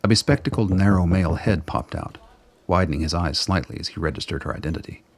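A man's voice: sits low, quick pace, husky. A man reading scripted narration for a radio drama as its narrator.

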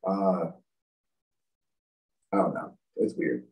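A man's voice: low-pitched, extremely slow, darkish tone, recorded from a distance. A man whose first language is French, talking with a lisp as a wrestling guest in a rambling, self-deprecating casual chat.

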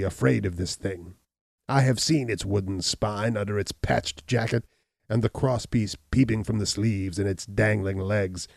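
A man's voice: on the low side, gravelly, darkish tone, no echo, studio quality. A man voicing a cruel, mocking hunchbacked landowner in a storybook narration, boastful and defiant in manner.

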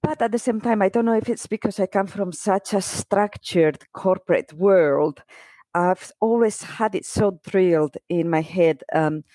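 A woman's voice: medium pitch, a little slow, dark tone, no echo. A middle-aged woman from Spain, a native Spanish speaker, chatting casually and telling stories by acting out what people said. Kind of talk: casual chat.

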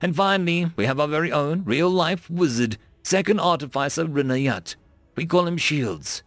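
A man's voice: fairly high pitch, normal speed, fairly bright tone, dry recording, voice pushed up, close to an everyday voice. A man voicing a wry, easygoing Marine squadmate with casual, explanatory banter in an audiobook. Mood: wry, friendly.

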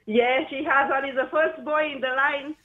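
A woman's voice: high voice, steady tone, moderate pace. A woman from Romania, a non-native English speaker, calling a radio show and answering in short, simple sentences. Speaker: caller. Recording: phone line.

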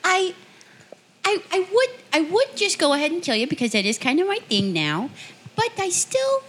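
A woman's voice: very high voice, sing-song, normal speed, a bit bright, heavily acted. A woman playing a maniacal, sweet-talking murderous bride with gushing, theatrical menace in an improvised comedy radio parody. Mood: gleeful menace.

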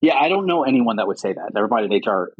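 A woman with a husky voice co-hosting a casual podcast, speaking in an emphatic, exasperated way. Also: a bass voice, very animated, fairly quick, dry recording, dull audio. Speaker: podcast host. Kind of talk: casual chat.

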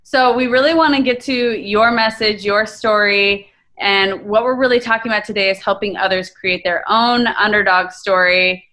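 A woman's voice: medium pitch, fairly even intonation, moderate pace, bright, forceful tone. A young woman co-hosting a podcast, upbeat and enthusiastic as she interviews a guest. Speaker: podcast co-host. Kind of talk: scripted intro and interview.

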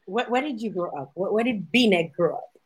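A woman interviewing a musician, asking chatty, excited questions. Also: medium pitch, normal speed, husky. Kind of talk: casual interview.

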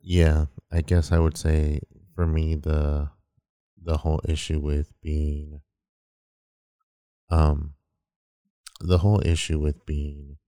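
A man with a very deep baritone voice, chatting casually as a podcast co-host in a relaxed, rambling way with lots of fillers. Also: slow, pauses often, no room sound, studio quality.

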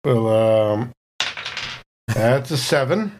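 A man plays a tabletop game and talks it through casually. His voice sits at an average male pitch, and his delivery is wry and jokey. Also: animated, extremely slow, a little mellow, a little hiss or hum.

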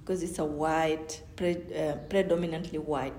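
A woman's voice: sits low, steady tone, normal speed, mellow, a little hiss or hum. A woman from Senegal, an academic guest giving thoughtful answers and often restarting sentences. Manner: thoughtful, restarts sentences, lots of "you know". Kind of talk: interview answers. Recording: echoey room.

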